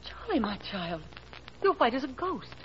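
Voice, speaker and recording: A woman's voice: fairly high pitch, very animated, normal speed, background noise. An actress playing a fussy, affectionate middle-aged mother in 1940s radio drama, fluttery and fretful. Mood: tender worry. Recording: muffled old radio broadcast.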